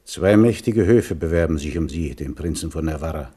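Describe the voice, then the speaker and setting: An actor playing a stern, pious admiral in a 1970s radio drama, speaking in a measured, solemn way. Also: male voice, extremely low pitch, dark tone, breathy edge. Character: stern, pious old admiral and military leader.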